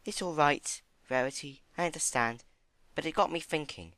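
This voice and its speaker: A man voicing a gentle, timid female pony with a soft, hesitant manner in a dramatic storybook reading. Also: a little high, moderate pace, no echo, voice pushed up. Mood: earnest. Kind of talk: dramatic fan fiction reading.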